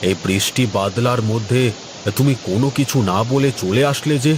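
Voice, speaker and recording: Man acting pompous village gentleman, noisy recording. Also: mid-range voice, normal speed, lightly acted. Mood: eager to please. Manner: theatrical, self-important. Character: pompous village gentleman who fancies himself a poet. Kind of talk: Bengali cartoon voice acting.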